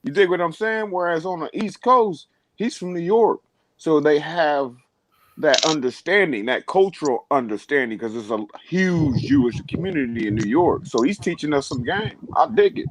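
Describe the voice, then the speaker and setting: A Californian man in a casual group chat, talking in laid-back slang and getting excitable. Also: fairly high pitch, moderate pace, crowd noise, rough recording. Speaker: podcast co-host.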